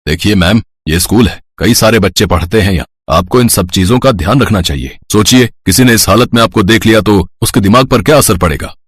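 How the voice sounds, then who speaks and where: A man acts a scolding middle-aged principal in a moral story. His voice is low and husky, with an officious, admonishing manner. The sound is dry. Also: fairly quick, hardly any pauses, no echo. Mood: stern.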